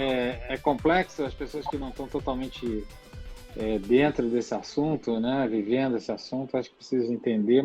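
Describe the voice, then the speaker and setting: A man's voice: medium pitch, a little mellow, husky, dull audio. A middle-aged man hosting a casual online talk, loose and full of fillers and tag questions. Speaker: host.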